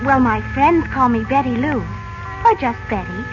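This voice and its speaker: A woman's voice: sits high, expressive, moderate pace, music in the background. An actress playing an eager, naive American teenage girl, anxious about her father, breathless and earnest, in 1940s radio drama style. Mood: shy, uneasy. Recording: muffled, noisy old radio recording.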